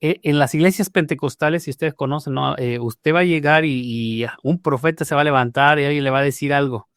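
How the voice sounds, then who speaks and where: Mexican pastor, hesitant with many fillers. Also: male voice, a little high, fairly quick, a bit bright, clear, resonant tone, no room sound, clean studio sound. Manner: hesitant, frequent "eh" fillers and restarts. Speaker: pastor, guest. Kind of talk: testimony in a podcast interview.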